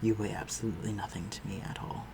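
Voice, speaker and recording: A man's voice: on the low side, fairly even intonation, quick pace. A man playing a protective, courtly vampire lord in an audio roleplay, speaking formally and tenderly to his human listener. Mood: calm, caring. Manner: formal, old-fashioned, tender and reassuring. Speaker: voice actor. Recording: clearly noisy, ambient sound behind voice.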